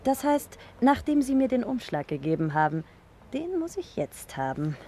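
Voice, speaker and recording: A woman's voice: fairly high pitch, very animated, normal speed, mellow, a little hiss or hum. An actress playing a smug, menacing young woman in a 1960s German radio crime drama, with a mocking, silkily threatening theatrical delivery. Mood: coolly commanding.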